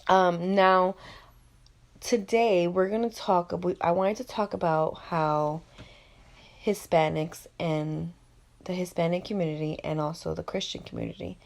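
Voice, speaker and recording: A woman in her twenties from Florida hosting a podcast, chatty, with quick follow-up questions. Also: on the low side, steady tone, vocal fry. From Florida, USA. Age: 20s. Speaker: podcast host. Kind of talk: casual interview.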